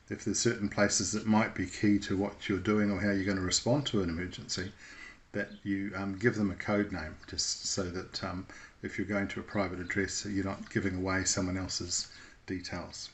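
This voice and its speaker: Male instructor, lowish voice, unscripted explainer delivery, measured and slightly hesitant, pausing to think between phrases. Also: level tone. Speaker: instructor presenting a radio how-to video. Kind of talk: informal unscripted tutorial.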